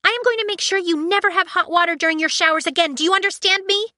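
A woman voicing a shrill, excitable ship AI. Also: quick pace, dry recording, clean studio sound. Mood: excited. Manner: indignant, dramatic, squealing.

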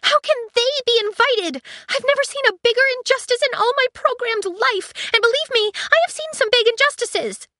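A woman voicing a female spaceship AI who is indignant, dramatic and quick to squeal. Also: very high voice, bright, forceful tone, no echo, clean studio sound, voice pushed up. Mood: excited. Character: excitable shrill female ship AI.

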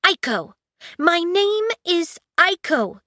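A shrill, theatrical ship computer with a girlish voice, put on by a woman reading a story. Her pitch leaps very high and she talks loudly and brightly, squealing at every outrage. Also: very animated, takes it slowly, dry recording, studio quality, full character voice. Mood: excited. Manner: indignant, dramatic, squealing. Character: excitable shrill female ship AI.

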